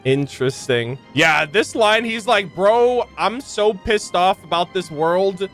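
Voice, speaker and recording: A man hosting a game reaction video, casual and excitable, with slangy, exclamatory commentary. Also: sits high, slow, soft background music.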